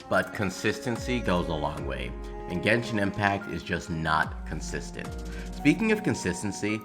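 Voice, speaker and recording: A man's voice: low voice, pushed and bright. A man reading a scripted, opinionated video essay as a gaming commentator, with sardonic asides. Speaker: YouTube game commentator. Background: background music.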